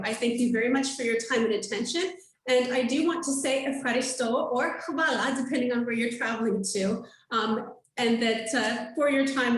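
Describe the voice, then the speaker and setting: A woman's voice: a little high, far from the mic. Woman presenting from an echoey room. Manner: upbeat, frequent ums and uhs. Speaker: tour operator presenter. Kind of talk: webinar sales presentation.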